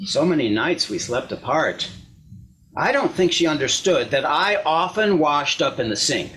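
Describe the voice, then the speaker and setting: High-voiced, self-justifying husband played by a man, lively intonation. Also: moderate pace, a little hiss or hum, poor audio. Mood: aggrieved. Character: wounded, self-justifying husband recalling a failed marriage. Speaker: actor in a readers theater performance. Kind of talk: dramatic monologue reading.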